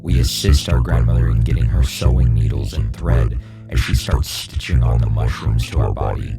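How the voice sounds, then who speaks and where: A man's voice: extremely low pitch, normal speed, creaky. A man reading scripted narration of a horror game in a dramatic, ominous storyteller manner. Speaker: video essay narrator. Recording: music behind the voice.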